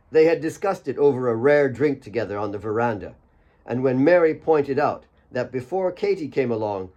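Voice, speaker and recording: Medium-pitched man narrating an audiobook. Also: mellow. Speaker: author reading own audiobook. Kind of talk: scripted fiction narration.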